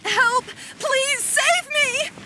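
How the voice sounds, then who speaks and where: A woman's voice: extremely high pitch, very slow, heavily acted. A woman playing a polite, earnest young woman scholar in a video game. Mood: panicked.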